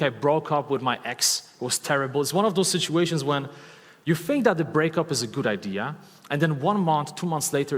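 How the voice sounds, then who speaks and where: A man's voice: on the high side, fairly bright tone. A man in his thirties with a Polish accent, giving an enthusiastic stage talk and often asking the audience questions. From Poland. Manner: enthusiastic, asks the audience questions, repeats key words. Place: large hall with live audience. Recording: long hall reverb from a stage talk.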